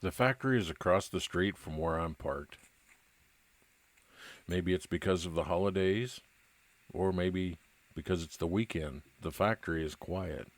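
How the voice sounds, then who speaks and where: A man playing a weary, nostalgic private investigator, narrating an old-time radio detective drama in a measured, reflective way. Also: deep voice.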